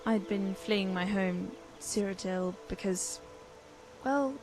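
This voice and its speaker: A woman voicing a guarded young female traveller in a fantasy video game, measured and halting with dramatic pauses. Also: mid-range voice, low-quality recording. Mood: uneasy recounting. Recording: game music behind.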